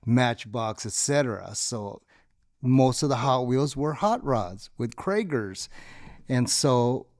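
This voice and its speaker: A man from the Philippines, whose first language was Tagalog, telling relaxed, rambling stories in a casual interview. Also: mid-range voice, no echo, studio quality. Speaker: guest, lowrider club member.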